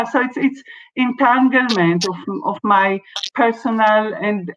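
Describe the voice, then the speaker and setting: A woman from Israel, an artist and researcher, answering a question in an online seminar with many pauses and restarts. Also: mid-range voice, a bit bright, dull audio. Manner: hesitant, many fillers and restarts. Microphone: video call.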